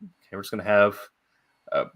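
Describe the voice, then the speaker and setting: A man hosting a casual interview about writing, speaking in a halting, thoughtful way as a fellow writer. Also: on the low side, fairly bright tone. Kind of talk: casual podcast interview chat.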